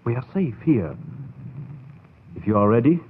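An actor playing a scheming, insistent scientist in 1940s radio horror, speaking formally and persuasively in a theatrical style. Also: male voice, mid-range voice, big pitch swings, normal speed, breathy edge. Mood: smooth, persuasive. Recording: tinny, noisy old radio broadcast.

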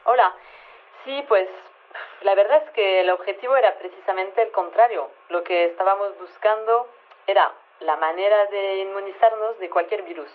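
A woman's voice: mid-range voice, normal speed. An actress playing a matter-of-fact, slightly flustered female lab chief, hesitant and rambling, interviewed over a telephone line. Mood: explaining. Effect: telephone filter. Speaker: scientist interviewed by phone. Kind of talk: conversational radio phone interview. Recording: tinny narrow phone line.

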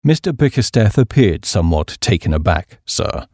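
A man voicing an unflappable, deferential English valet, with a formal, measured and deadpan British delivery. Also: fairly low pitch, husky, no room sound, clean studio sound, voice pushed down. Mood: deferential, composed.

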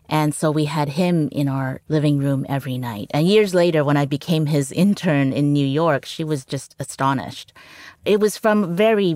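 A woman's voice: low-pitched, darkish tone, dry recording, clean studio sound. A middle-aged woman journalist from Canada, whose first language was Cantonese, talking reflectively in an interview and often saying "you know". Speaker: journalist guest. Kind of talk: interview conversation.

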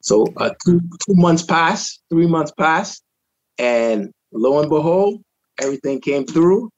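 A man from Trinidad, a guest on a talk show, relaxed in telling his own story with many fillers, speaking from his home over a video call. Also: fairly high pitch, slow pace, no room sound, dull audio. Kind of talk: personal testimony in casual chat.

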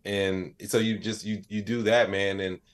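Low-voiced man in his late thirties, casual. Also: flat delivery, unhurried. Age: late 30s. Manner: colloquial, plain-spoken, acts out little imagined dialogues. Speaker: interview guest, AI educator and comedian. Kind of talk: casual conversational interview answers.

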